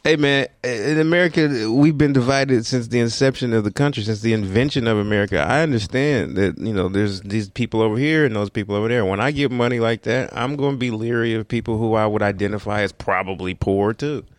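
A man's voice: medium pitch, a little fast, hardly any pauses, no room sound. A man co-hosting a podcast, chatting in a dry, thoughtful way. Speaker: podcast co-host. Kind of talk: casual chat.

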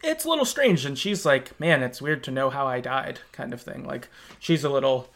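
Casual, halting male podcast host. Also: mid-range voice, expressive, creaky, a bit bright. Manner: halting, thinking aloud, informal. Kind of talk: casual conversational game discussion.

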